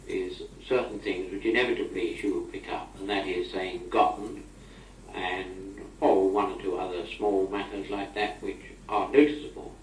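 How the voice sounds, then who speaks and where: A middle-aged or older British man speaking in a chatty, wry, old-fashioned way, as if in an informal spoken letter to a friend. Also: fairly low pitch. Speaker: amateur correspondent on a taped letter. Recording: old amateur tape, roomy, distant, noisy.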